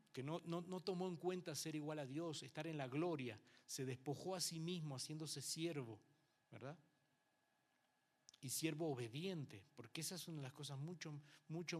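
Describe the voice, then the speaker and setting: Uruguayan pastor preaching conversationally. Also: male voice, fairly high pitch. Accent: Uruguayan Spanish with some Mexican influence. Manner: conversational preaching with frequent rhetorical checks and acted-out quotes. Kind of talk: Bible class sermon to a congregation. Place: church.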